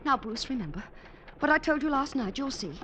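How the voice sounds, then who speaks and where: A woman's voice: high voice, animated, a little fast, noisy background. An actress playing a distraught, breathless young woman in an old radio drama, anxious and stammering. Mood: insistent. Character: distraught young woman searching for her missing mother. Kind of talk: 1940s-50s radio drama acting with first-person narration. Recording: old radio recording with music behind.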